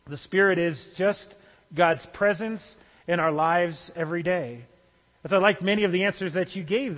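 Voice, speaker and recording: A male pastor gives a relaxed, conversational sermon, sometimes restarting his phrases. His voice sits high for a man. Long reverb from the sanctuary trails behind each phrase.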